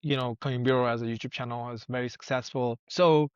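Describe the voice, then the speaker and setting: A man's voice: mid-range voice, steady tone, brisk, clear, resonant tone, no echo. Earnest young man, native Sinhala speaker. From Sri Lanka. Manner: earnest and reflective, thinks aloud with rhetorical questions. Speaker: guest. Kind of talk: conversational interview. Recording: dull, dry lo-fi sound.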